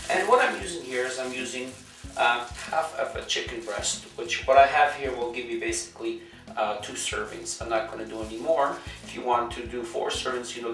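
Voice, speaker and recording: A hoarse man who has just had a cold, hosting a home cooking show and giving relaxed step-by-step instructions with many fillers while he cooks at the stove. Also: medium pitch. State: hoarse, losing his voice after a cold. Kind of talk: cooking demonstration. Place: home kitchen at a gas stove. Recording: echoey kitchen, mic at a distance, music.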